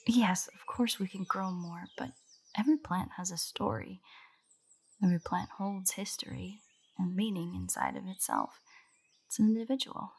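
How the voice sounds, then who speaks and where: A woman's voice: mid-range voice, expressive, normal speed, creaky, a little mellow, breathy edge. A woman playing an earnest, nerdy royal botanist in a fantasy audio roleplay, speaking in a precise, formal, lecturing way directly to the listener. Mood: passionate. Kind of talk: roleplay audio drama, scripted monologue to the listener. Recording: birdsong behind the voice. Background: birdsong, garden ambience.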